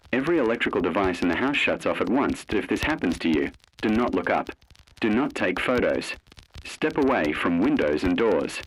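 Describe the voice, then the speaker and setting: A man's voice: fairly low pitch, breathy edge, low-quality recording. A man narrating a scripted horror warning in a grave, ominous manner.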